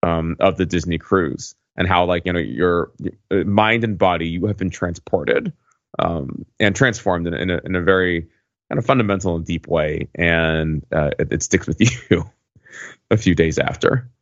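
Middle-aged male panelist, deep voice, hesitant casual storyteller, close dry but slightly muffled sound. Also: normal speed, no echo. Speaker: podcast panelist.

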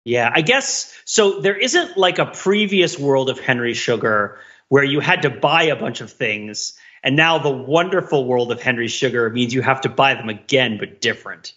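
A man co-hosting a podcast, talking casually and digressively about films in an animated, wryly analytical way. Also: medium pitch, moderate pace, highs cut off. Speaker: podcast co-host. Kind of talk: casual film discussion, rambling commentary.

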